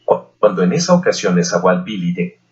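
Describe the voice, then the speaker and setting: A man's voice: low-pitched, sing-song, a little fast. A man reading a historical novel aloud as scripted audiobook narration, in a dull, lo-fi recording. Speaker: audiobook reader.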